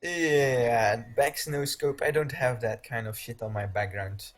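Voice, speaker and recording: A man's voice: mid-range voice, measured pace, faint music behind. A man from Belgium with a Belgian Dutch accent, a Dutch native speaker, hosting a game-tutorial live stream in loose, rambling chat and laughing often.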